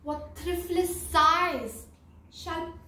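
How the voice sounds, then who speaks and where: A young woman acting a bewildered, lovestruck heroine disguised as a man in a Shakespearean monologue, theatrical, with long pauses. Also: extremely high pitch, pushed and bright, a little hiss or hum, heavily acted. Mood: anxious, despairing. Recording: echoey room, distant microphone.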